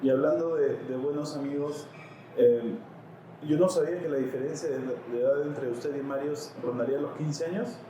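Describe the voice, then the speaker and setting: A man's voice: a little high, moderate pace, mellow, a little hiss or hum. A man interviewing a guest, asking polite, formal and somewhat hesitant questions. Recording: distant, very echoey room.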